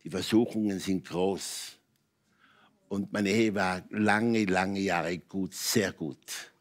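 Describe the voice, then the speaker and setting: An older man from Switzerland speaks with a Swiss German accent in a fairly low voice. He tells personal stories in conversation, emphatically, in short halting phrases that often repeat. He is a guest in a television studio. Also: fairly even intonation. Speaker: talk show guest. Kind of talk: candid personal storytelling in conversation. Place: TV talk show studio with audience.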